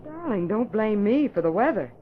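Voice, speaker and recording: An actress playing a cool, practical criminal accomplice in an old radio drama, composed and businesslike. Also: female voice, medium pitch, very animated, normal speed, lightly acted. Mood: soothing, firm. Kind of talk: 1940s radio acting. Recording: muffled old radio recording with hiss.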